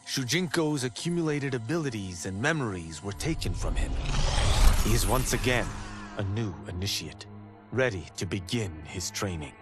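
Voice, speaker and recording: Guy doing cocky fighter hero in a game, super animated delivery, self-assured, theatrical narration w music. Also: on the low side, normal speed, lightly acted. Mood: self-important. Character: vain, self-assured male martial arts hero turned teacher. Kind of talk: scripted dramatic game narration.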